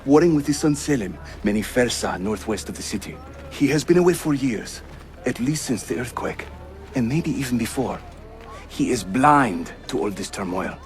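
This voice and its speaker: A man's voice: mid-range voice, animated, normal speed, faint music behind, lightly acted. A male actor playing a spirited, teasing Ottoman assassin leader in a video game, with a jovial, theatrical manner. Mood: grave, briefing.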